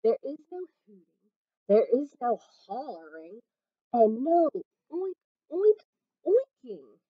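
A woman's voice: high voice, sing-song, slow, no room sound, pitched above the performer's own voice, exaggerated voice. A woman voicing a fussy, strict middle-aged male farmer who lays down the rules emphatically. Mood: stern. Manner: emphatic, scolding lists of rules. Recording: dull lo-fi dry recording.

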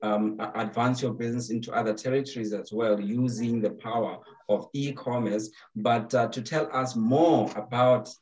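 A man's voice: mid-range voice, steady tone, moderate pace, hardly any pauses, darkish tone, rough recording. A young man, hosting an online talk show over a video call and speaking fluently. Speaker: host. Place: home room, video call. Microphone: webcam on a video call.

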